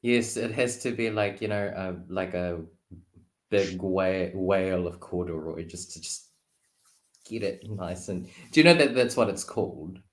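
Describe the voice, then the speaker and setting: A man's voice: low voice, a little slow. A man speaking as a guest tarot reader in casual, laid-back, joking chat with hesitant phrasing.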